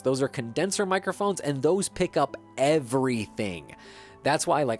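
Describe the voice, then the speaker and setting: A man chatting casually at his home streaming setup, his pitch jumping around in a lively, animated way as he rambles and hunts for words. He sounds a little stuffy, close on a dynamic streaming mic, with faint music playing behind him. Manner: rambling, searching for words, self-correcting. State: slightly stuffy. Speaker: gaming streamer. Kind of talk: casual gameplay chat. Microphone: dynamic streaming microphone. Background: faint background music.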